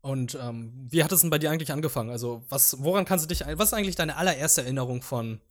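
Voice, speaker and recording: A man's voice: on the high side, very fast. Man reminiscing casually, native Vietnamese speaker. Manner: meandering, hesitant recollection. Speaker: podcast co-host. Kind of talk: casual chat.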